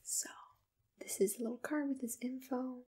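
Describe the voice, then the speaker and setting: A woman speaking in a breathy, mostly whispered voice, gently playing an attentive agency assistant in an ASMR roleplay, close to the microphone. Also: high voice, fairly even intonation. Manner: gentle, personal, unhurried customer-service address.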